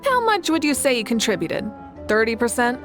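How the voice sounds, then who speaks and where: A woman's voice: a little high, sing-song, brisk. An actress playing a confident, playful young woman leader in a video game, with an assured, teasing manner. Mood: teasing, upbeat. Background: game music.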